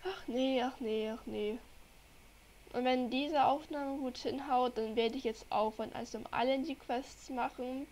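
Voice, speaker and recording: Young woman gamer w a cold, bit high voice, slow rambly chat into her desk mic. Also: fairly even intonation, a little mellow, poor audio. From Germany. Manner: chatty, rambling, talks to viewers while playing. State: has a cold. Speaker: gaming channel host. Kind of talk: casual gameplay commentary. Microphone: desk microphone.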